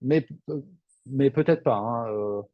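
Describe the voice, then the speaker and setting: A man's voice: medium pitch, slow pace, no echo, dull audio. A man from northern France, hosting a webinar as a financial adviser, reading out questions and answering them in a frank, off-the-cuff, conversational way. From Lille, northern France.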